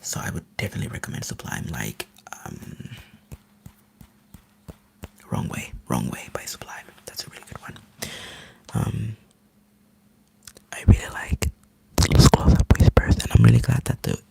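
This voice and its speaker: A man from Finland, a native Finnish speaker, rambling eagerly in a soft whisper as an ASMR live streamer. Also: very deep voice, very animated, normal speed, vocal fry, long pauses, low-quality recording.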